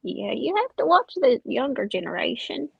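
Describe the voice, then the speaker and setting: A woman playing a gossipy old country woman who talks in a halting, folksy way. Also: fairly high pitch, big pitch swings, creaky, slightly rough, no echo, dull audio.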